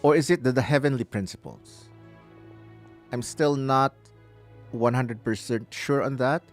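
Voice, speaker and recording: A man's voice: mid-range voice, measured pace, faint music behind. A man giving live playthrough commentary as a gaming streamer, musing aloud and breaking into exclamations.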